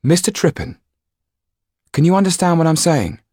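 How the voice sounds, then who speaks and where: A man does a bold young girl in a storybook, voice lifted well above his own and speaking in crisp, urgent orders. The tone stays husky and close, with no room around it. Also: a little high, very animated, moderate pace, a little mellow, dry recording, voice pushed up. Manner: firm, urgent instructions. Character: brave, quick-witted young girl.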